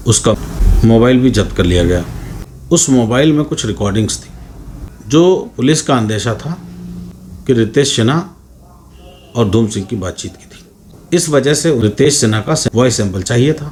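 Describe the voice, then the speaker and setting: An Indian man speaks as a law lecturer in an average-pitched voice. He explains in formal, deliberate phrases broken by frequent pauses. A light layer of ambient noise sits behind him. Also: animated, a little mellow.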